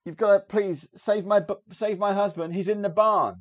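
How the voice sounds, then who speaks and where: Man doing a terrified woman villager, frantic and begging, raised pitch and volume, muffled low-fi recording. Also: fairly even intonation, normal speed, close to an everyday voice. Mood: panicked. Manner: frantic, pleading. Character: panicked woman villager calling for help.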